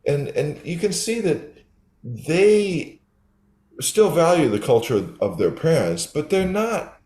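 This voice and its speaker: Animated older man, echoey room. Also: on the high side, slow pace. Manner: thoughtful, explanatory, with restarts. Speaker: guest, developmental psychologist. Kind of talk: interview answer. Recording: roomy echo.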